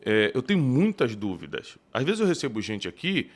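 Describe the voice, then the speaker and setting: A man from Rio de Janeiro with a carioca accent, a theologian hosting an interview who asks questions and thinks aloud in an animated way. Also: medium pitch, moderate pace. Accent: Carioca Brazilian Portuguese. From Rio de Janeiro, Brazil. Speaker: podcast host and theologian. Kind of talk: conversational interview.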